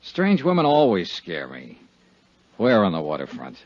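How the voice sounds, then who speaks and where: A man's voice: low voice, wildly swooping pitch, unhurried, crowd noise, full character voice. A man playing a tough, wry insurance investigator in a 1950s radio drama, speaking and narrating in a hard-boiled manner. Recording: muffled 1950s radio recording with music.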